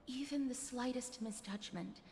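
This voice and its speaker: A woman playing a gentle, solemn young woman in a fantasy video game, speaking formally in a hushed, measured way. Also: a little high, slight background noise, close to an everyday voice. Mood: grave, cautioning. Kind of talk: scripted video game voice acting. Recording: reverberant game audio with music.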